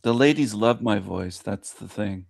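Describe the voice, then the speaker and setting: A man with a low, gravelly voice trades joking, boastful banter in a casual group call. His voice sits on a close computer mic with no room sound around it. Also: a little slow, no echo. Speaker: regular in an online group chat. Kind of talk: casual chat.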